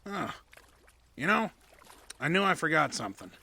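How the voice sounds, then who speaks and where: An actor playing a meek, slow-witted backwoods man with a hesitant, folksy way of talking. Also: on the high side, big pitch swings, creaky. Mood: timid. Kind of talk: audio drama acting.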